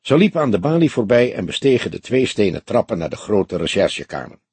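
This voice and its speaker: Male audiobook narrator, crime story, strongly raspy, lowish voice, expressive intonation, dry close sound. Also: brisk, no room sound. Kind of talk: scripted crime-novel narration.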